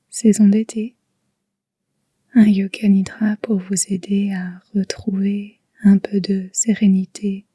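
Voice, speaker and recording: Hushed, breathy woman guiding slow relaxation. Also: medium pitch, no room sound. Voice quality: soft, breathy, whispery. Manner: soothing, hushed, unhurried. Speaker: yoga nidra guide. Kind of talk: slow guided relaxation meditation.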